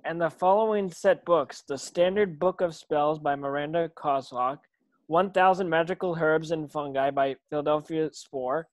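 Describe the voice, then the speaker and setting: A man's voice: a little high, steady tone, normal speed, no room sound, natural voice. A man playing a curious, puzzled young boy wizard in a screenplay table read. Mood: reading, wondering.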